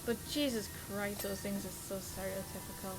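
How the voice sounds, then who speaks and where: A woman's voice: medium pitch, steady tone, moderate pace, over loud music, poor audio. A woman with a Geordie accent chatting casually while streaming a game, exclamatory and swearing freely. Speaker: livestreamer. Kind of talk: casual gameplay commentary.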